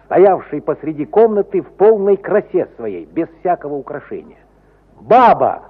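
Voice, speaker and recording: A man narrating a literary radio play in a theatrical, dramatic storytelling manner. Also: high voice. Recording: tinny, narrow-band 1950 radio recording.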